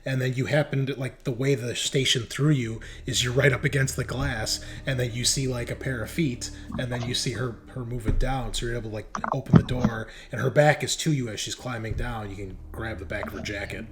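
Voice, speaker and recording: A man's voice: medium pitch, steady tone, fairly quick, hardly any pauses, over music. A man narrating a tabletop horror game as game master in a casual, conversational way.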